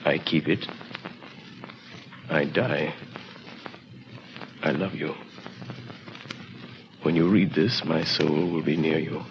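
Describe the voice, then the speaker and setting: An actor playing a tender, protective older father, speaking softly and with measure in 1930s radio drama style. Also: extremely low pitch, steady tone, heavily acted. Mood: solemn, subdued. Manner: soft, measured, coaxing. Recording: muffled, faintly noisy 1937 radio broadcast.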